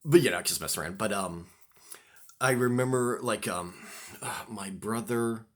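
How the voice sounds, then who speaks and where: A man's voice: fairly low pitch, moderate pace. A man, talking to the camera in a loose, rambling monologue, full of ums and restarts. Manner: hesitant, many ums and restarts. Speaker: vlogger.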